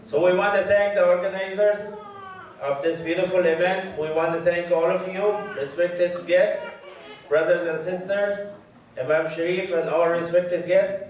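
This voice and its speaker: Egyptian-accented guy giving a talk, kinda high voice, joking with the audience, booming hall echo. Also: fairly even intonation. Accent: Egyptian Arabic. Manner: chatty and joking with the crowd, speaking slowly. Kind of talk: mosque lecture to an audience. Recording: very echoey hall, voice heard from far.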